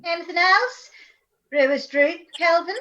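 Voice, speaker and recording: A woman playing a brisk, friendly village pub barmaid in a radio comedy. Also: extremely high pitch, pushed and bright, dull audio, heavily acted.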